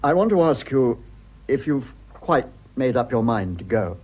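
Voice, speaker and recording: An actor playing an earnest, awkward, lovelorn husband in a British radio drama, halting and apologetic as he pleads. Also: medium pitch, very animated, husky, lo-fi sound. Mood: pleading, tender.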